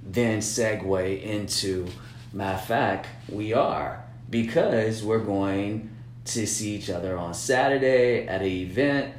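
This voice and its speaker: A man with a lowish voice talks casually and at length, stumbling over repeated words and circling back to his points. The room around him is echoey, with a faint hum and background noise. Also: slow pace. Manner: rambling, repeats words, frequent "you know what I'm saying". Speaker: business-minded artist partner. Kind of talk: casual planning chat.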